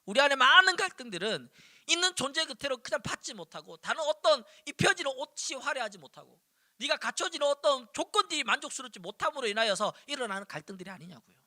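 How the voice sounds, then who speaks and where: A man in his forties from Daegu, preaching a sermon to high school students with animated storytelling and punchy repetition, speaking Seoul Korean with slight Daegu traces. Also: no room sound, studio quality. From Daegu, South Korea. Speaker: youth pastor. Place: church.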